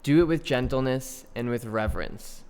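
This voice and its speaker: A man co-hosting a casual podcast, quick with a joke and earnest when he explains his view. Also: mid-range voice, a little mellow, smooth, big-room sound. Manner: joking banter, then earnest explaining. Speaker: podcast co-host.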